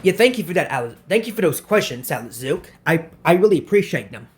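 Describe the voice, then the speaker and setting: Halting young man answering viewer questions. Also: fairly high pitch, very animated. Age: 20s. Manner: halting, repeats and restarts phrases often. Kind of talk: casual Q&A vlog.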